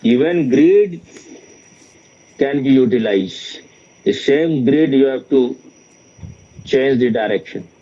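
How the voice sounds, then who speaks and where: A man giving an informal spiritual question-and-answer talk as a teacher, speaking in a halting, repetitive and bluntly opinionated way. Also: mid-range voice, animated, dark tone. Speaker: spiritual teacher in dialogue with a student. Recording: dull, lo-fi sound.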